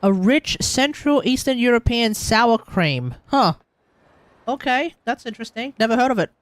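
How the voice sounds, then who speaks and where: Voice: mid-range voice, expressive, moderate pace, no room sound. A streamer with an Australian accent, chatting casually and muttering asides while playing a game. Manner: chatty, muttering asides, reads text aloud. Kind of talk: casual live-stream commentary.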